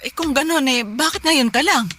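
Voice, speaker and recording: A woman's voice: sits high, normal speed, pushed and bright. A woman acting as a nagging, strict middle-aged mother in a radio drama, short-tempered and scolding, with faint noise in the recording. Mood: stern scolding. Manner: scolding, naggy.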